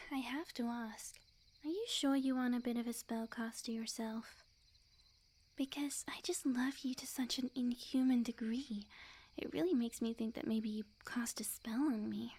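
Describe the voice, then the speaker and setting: A sweet yandere witch acted out by a woman for an ASMR roleplay, young, clingy and lovesick. Her voice sits fairly high but stays mellow and soft, often breathy and close to a whisper. She speaks intimately, with coy, earnest devotion. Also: fairly even intonation, moderate pace. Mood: tender, hopeful.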